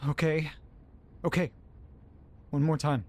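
A male actor plays a frightened man in an audio drama monologue, alone and hungover. His voice sits slightly high for a man and moves at a slow pace. He halts, repeats himself and swears as he tries to make sense of things. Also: steady tone, a little mellow. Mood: anxious, frustrated.

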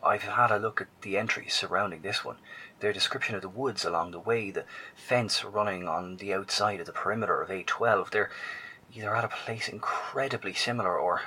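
A man's voice: lowish voice, fairly quick, bright, forceful tone, slightly rough, poor audio. An actor playing a grave, hesitant male broadcaster who talks to survivors and often drops to a whisper. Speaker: narrator.

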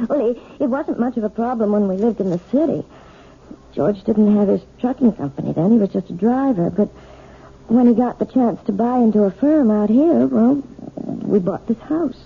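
An actress playing a timid, proper wife who gives piano lessons, speaking gently and nervously in a 1970s radio drama. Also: female voice, on the high side, animated, normal speed, gravelly, breathy edge, heavily acted. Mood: nervous, prim. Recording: tinny, narrow old radio broadcast.

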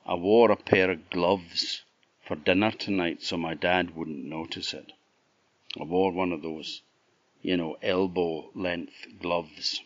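A man speaks as a kind young lassie from a folk tale, in a low voice with a Scottish accent. The manner is reassuring and gently scolding, in a dry, slightly muffled recording. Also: fairly even intonation, slow, dry recording.

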